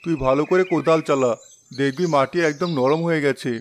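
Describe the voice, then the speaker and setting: An actor playing an honest, steady farmer and elder brother in a cartoon, giving advice. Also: male voice, fairly high pitch, a little fast, a little hiss or hum, close to an everyday voice. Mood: advising. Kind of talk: cartoon dialogue.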